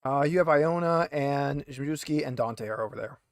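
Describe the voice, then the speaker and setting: A man's voice: fairly high pitch, moderate pace, no room sound, clean studio sound. A man game mastering in a casual, improvised way, thinking aloud as he describes things.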